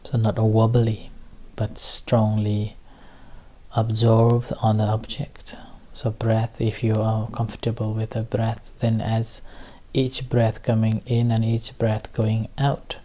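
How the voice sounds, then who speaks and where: A man with a soft-edged, relaxed voice guides a meditation session. He speaks very slowly, in short, halting phrases separated by long pauses. His intonation stays very flat as he gently gives instructions. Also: fairly low pitch. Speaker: Buddhist meditation teacher leading a group session.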